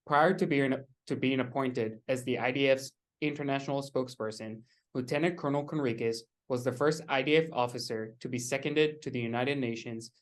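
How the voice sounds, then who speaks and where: A young man hosting an event, formally reading an introduction and audience questions. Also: medium pitch. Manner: formal, reads from notes. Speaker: event host.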